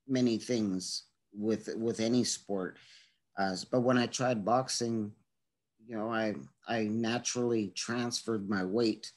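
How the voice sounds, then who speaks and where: A man speaking as a guest to students over a video call, telling his story casually with many restarts and little chuckles. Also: lowish voice. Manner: halting, many restarts, chuckles between points.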